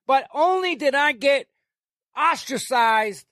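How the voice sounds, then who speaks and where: Loud, profane older man, very high-pitched. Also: lively intonation, slow, no room sound, studio quality. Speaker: guest. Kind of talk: heated podcast conversation.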